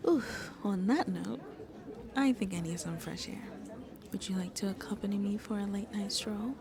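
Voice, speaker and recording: A woman playing a shy but playfully flirty vampire on a date in an ASMR roleplay, speaking softly and intimately and often slipping into breathy whispers. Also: mid-range voice, unhurried, pauses often, crowd noise, close to an everyday voice. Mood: playful, tipsy, flirty.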